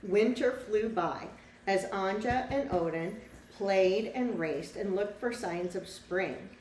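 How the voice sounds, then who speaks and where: A woman's voice: medium pitch, slow, a little mellow. A woman teacher reading a picture book to children expressively, with simple, casual explanations along the way. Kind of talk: children's read-aloud with casual asides to the class. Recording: roomy echo, distant mic, faint background noise.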